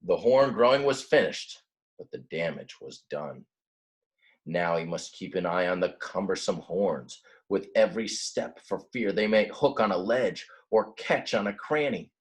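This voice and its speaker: A man reading a children's book aloud expressively, like a teacher, with simple, casual explanations along the way. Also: sits low. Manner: explains words simply in plain asides. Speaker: read-aloud storyteller, teacher.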